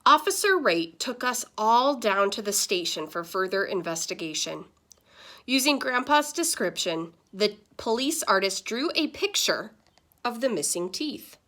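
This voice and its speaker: A woman's voice: medium pitch, a little slow. A woman reading a children's picture book aloud, expressively, with asides to the listeners. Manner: expressive storytelling. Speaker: story reader.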